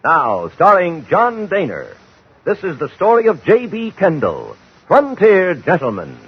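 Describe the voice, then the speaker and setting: Dramatic American radio announcer, widely swinging pitch. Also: male voice, wildly swooping pitch, unhurried, slight background noise. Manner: formal, dramatic. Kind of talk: 1950s radio announcing, scripted. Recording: muffled old radio broadcast with music.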